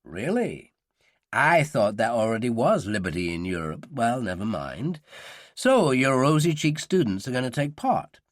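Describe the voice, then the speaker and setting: A man's voice: medium pitch, big pitch swings, unhurried, no echo. A man voicing a suave, sly upper-class American man with a dry, polite manner.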